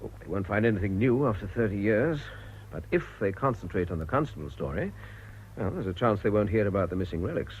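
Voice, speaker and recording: A brisk, urbane British man in charge played by a male actor in a radio play. His low voice probes with animated, dramatic swings. The sound is muffled and slightly noisy. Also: natural voice. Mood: decisive. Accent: British English. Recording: dull lo-fi radio recording with noise.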